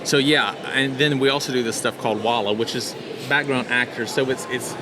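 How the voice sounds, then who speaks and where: A man's voice: mid-range voice, fairly even intonation, fairly quick. A man speaking casually as an interview guest, explaining his work as an audio drama producer. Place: busy convention center event floor. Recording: noisy convention hall background.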